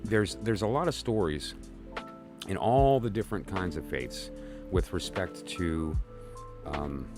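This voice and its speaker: A man's voice: sits low, measured pace, over music. A man from Brooklyn hosting a tarot channel livestream, talking casually and rambling with fillers and restarts.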